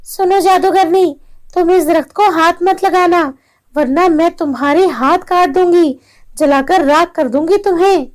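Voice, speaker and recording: Lady doing a brave young village girl for a kids story, really high voice, earnest. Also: unhurried. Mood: defiant. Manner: emphatic, earnest. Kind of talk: children's storybook acting.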